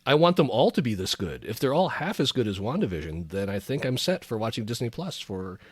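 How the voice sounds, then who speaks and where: A man's voice: mid-range voice, sing-song, fairly quick, slightly rough, no room sound, clean studio sound. A man co-hosting a podcast in casual fan chat, effusive and emphatic, often repeating his phrases. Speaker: podcast co-host.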